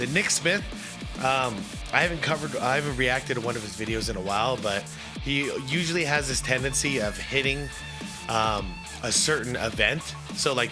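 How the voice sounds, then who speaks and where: Hoarse man hosting a casual reaction. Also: mid-range voice. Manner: relaxed, chatty, many fillers. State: hoarse from a cold. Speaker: reaction video host.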